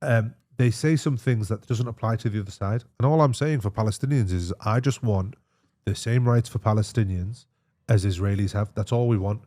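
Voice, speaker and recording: A man's voice: fairly low pitch, normal speed, mellow. A man speaking as an interview guest in casual, matey conversation, often repeating himself. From Australia. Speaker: interview guest, emergency physician.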